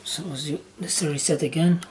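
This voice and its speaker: A man giving a casual, halting step-by-step demonstration of equipment in short phrases, as a hobbyist. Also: a little high, steady tone, darkish tone. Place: indoor room with wooden surface. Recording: noisy handheld camera recording indoors.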